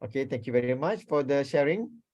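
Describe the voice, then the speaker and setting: A male lecturer with a Malaysian accent leads an online seminar in a dark, relaxed voice. He often repeats his phrases and checks in with the participants. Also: medium pitch, fairly quick, dull audio. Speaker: lecturer, workshop speaker. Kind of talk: interactive online seminar teaching. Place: online video call.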